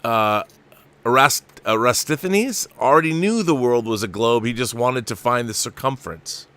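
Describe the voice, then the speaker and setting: A man's voice: medium pitch, animated, moderate pace, fairly bright tone. A man hosting a casual live show, chatting enthusiastically with rambling asides and reading viewer comments aloud.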